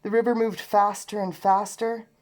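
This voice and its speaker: A woman narrating a children's storybook aloud in an expressive storytelling manner. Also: mid-range voice, normal speed. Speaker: storybook reader.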